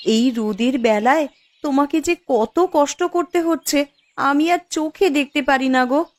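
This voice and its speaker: Woman acting a high-pitched, dramatic village wife. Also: measured pace, darkish tone. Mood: tender. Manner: dramatic, emphatic. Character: emotional village wife, by turns scolding and tender. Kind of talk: Bengali fairy-tale cartoon acting.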